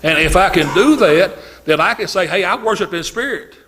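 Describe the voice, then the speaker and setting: A man preaching an informal sermon to his congregation, loud-voiced and folksy, talking in a relaxed conversational way with frequent fillers. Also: sits high, normal speed, a little hiss or hum.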